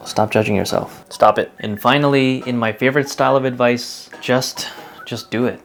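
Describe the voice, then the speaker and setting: A man narrating his own vlog in a low-key, self-described monotone voice, musing openly. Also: moderate pace, creaky, a little hiss or hum. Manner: calls own voice super monotone. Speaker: vlogger.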